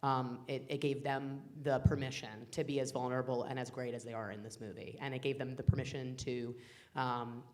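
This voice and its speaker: Low-voiced woman director, rambling. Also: a little fast. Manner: rambling, many fillers and restarts, animated quoting. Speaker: film director. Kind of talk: casual panel chat. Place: festival cafe stage.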